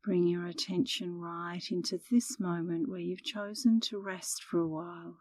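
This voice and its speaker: Low-pitched woman guiding a calm meditation. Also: dry recording. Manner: calm, soothing, unhurried. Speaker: meditation instructor. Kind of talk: guided meditation narration.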